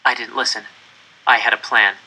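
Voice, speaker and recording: A man reading a first-person story aloud in an expressive, deliberate, dramatic way. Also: mid-range voice, breathy edge, rough recording. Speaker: audiobook reader.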